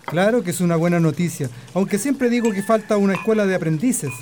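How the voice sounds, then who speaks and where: A woman's voice: mid-range voice, quick pace, a little mellow, close to an everyday voice. A woman playing a grumbling working-class father, proud of his son, in a radio drama. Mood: pleased, opinionated. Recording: noisy recording with clicks.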